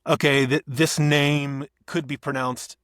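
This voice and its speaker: A man, an actor and audiobook narrator, talking as a podcast guest, thinking aloud with restarts and soft laughs. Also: on the high side, flat delivery, measured pace, no room sound, clean studio sound. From Northeast Ohio. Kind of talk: conversational podcast interview.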